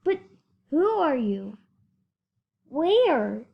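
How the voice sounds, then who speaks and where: An earnest, protective storybook elephant voiced by a woman reader. He speaks in a high voice, with emphatic, kindly insistence. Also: very animated, very slow, vocal fry, lo-fi sound, exaggerated voice. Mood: curious, helpful.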